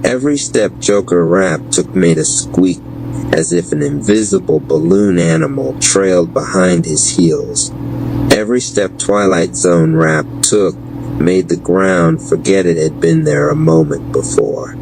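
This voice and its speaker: A man with a deep voice narrates dark fantasy at a very slow, deliberate pace. His delivery is gravelly and solemn, in florid storytelling prose, with noticeable noise in the recording. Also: very animated. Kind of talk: scripted dark fantasy audio drama narration. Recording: clearly noisy background.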